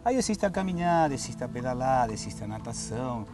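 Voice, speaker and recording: A man's voice: mid-range voice, sing-song, brisk. A man from Argentina with an Argentine Spanish accent, a fitness instructor explaining in a friendly way and often ending phrases with "tá?". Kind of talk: TV studio interview and demonstration. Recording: TV studio with background music.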